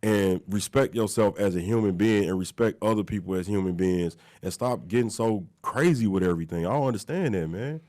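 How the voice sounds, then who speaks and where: A man co-hosting a sports talk show, congested and nasal from a cold. His voice sits fairly low, and he talks emphatically, leaning on repeated tag questions. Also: fairly quick, few pauses, a little mellow. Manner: emphatic, repeats "you know what I'm saying".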